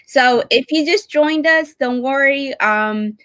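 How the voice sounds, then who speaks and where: A woman's voice: sits high, slow, a bit bright, no room sound. Enthusiastic woman hosting, close dry sound. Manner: enthusiastic, effusive. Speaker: online show host. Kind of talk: casual chatty interview.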